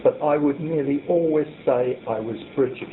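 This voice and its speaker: A man's voice: on the high side, normal speed. An older man speaking reflectively and earnestly in a group discussion. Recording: tinny lo-fi recording, faint hiss.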